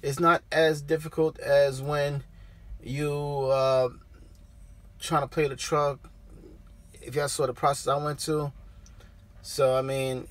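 A man in his thirties with a slightly high voice talks straight to the camera from inside his truck cab. His tone is relaxed and conversational, like he's walking his viewers through a step-by-step how-to. The camera sits close on the dashboard. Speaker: trucker vlogger. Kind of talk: casual how-to vlog. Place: parked truck cab, daytime.

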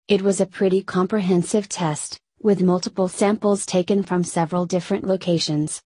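Low mellow woman reading news. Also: no echo. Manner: steady read-aloud delivery. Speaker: news reader. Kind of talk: scripted news article reading.

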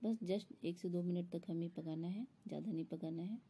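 A woman's voice: on the low side, normal speed, dark tone, slightly rough. A woman explaining a recipe step by step in an instructional voice-over, as a home cook. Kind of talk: step-by-step cooking tutorial voice-over.

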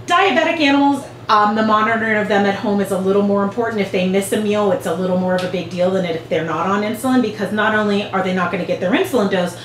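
A woman in her forties talks in a middle pitch, calmly walking listeners through each step the way a veterinarian would. Her voice bounces around a hard-surfaced exam room and is picked up from a camera a few feet away. A faint hum runs underneath. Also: fast, few pauses, reverberant, poor audio. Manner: clear, patient explaining with hand gestures. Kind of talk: instructional demonstration. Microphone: far camera.

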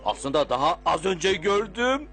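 A man voicing a bold, excitable little male bug adventurer in a children's cartoon, eager and bossy. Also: sits high, measured pace, heavily acted. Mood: excited. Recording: music behind the voice.

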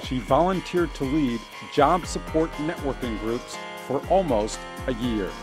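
A man giving measured, scripted documentary narration. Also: medium pitch, over music.

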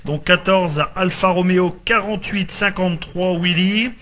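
Bright-voiced man on amateur radio, slightly high. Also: a little slow. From northern France. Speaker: radio operator making contacts. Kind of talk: casual amateur radio contact chat. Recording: noticeable background noise from radio set.

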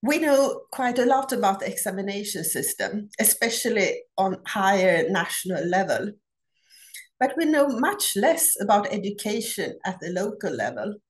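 A middle-aged woman from Sweden with a Swedish accent, giving a prepared academic conference talk over a video call, measured and deliberate with frequent pauses. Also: mid-range voice, big pitch swings. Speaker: academic researcher presenting a paper. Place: home office. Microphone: laptop webcam on a video call.

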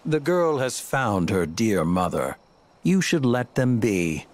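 A smug cloaked game villain, drawling slowly in a low voice. His delivery is theatrical and condescending, swinging through wide intonation over music. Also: big pitch swings, a little mellow. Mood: smug, calm. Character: smug, coolly menacing male villain in a dark cloak. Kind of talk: scripted video game cutscene acting.

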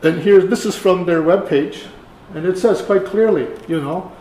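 An older man, around seventy, giving a conference lecture in a halting, deliberate way. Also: high-pitched, warm tone. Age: older, about 70. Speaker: keynote speaker, archivist. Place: lecture room, indoors. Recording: roomy echo, faint room noise.

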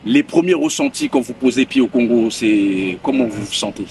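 A man's voice: medium pitch, huge pitch swings, moderate pace, vocal fry, a little mellow, recorded from a distance, a little hiss or hum. A young man asking polite, formal interview questions as the interviewer, speaking outdoors. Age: young adult. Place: outdoors by a hotel pool.

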